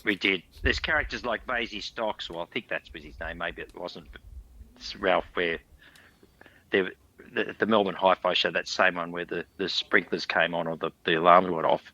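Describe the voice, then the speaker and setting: A man's voice: deep voice, moderate pace, sharp tone, lo-fi sound. An older man chatting as an interview guest, rambling through memories with jokey asides. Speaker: interview guest, industry veteran. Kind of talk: casual chat, reminiscing.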